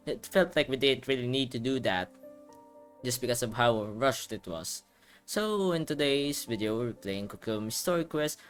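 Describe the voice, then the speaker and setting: A man's voice: mid-range voice, animated, normal speed, soft background music. A man giving casual, rambling video game playthrough commentary, thinking aloud as he hosts. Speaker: gaming video host.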